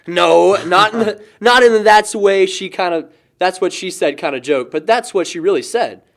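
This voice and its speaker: A man's voice: high-pitched, moderate pace, creaky, a bit bright. A young man playing a lighthearted, chatty, lovestruck guy in a casual audio drama, joking and rambling. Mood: earnest, smitten. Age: young adult.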